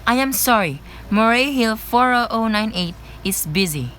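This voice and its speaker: A woman's voice: a little high, lively intonation, moderate pace, close to an everyday voice. A young woman in an amateur radio play, playing a courteous, by-the-book switchboard operator. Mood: polite, puzzled. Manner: courteous, procedural. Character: polite, formulaic telephone switchboard operator. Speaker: student actor. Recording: clear background noise.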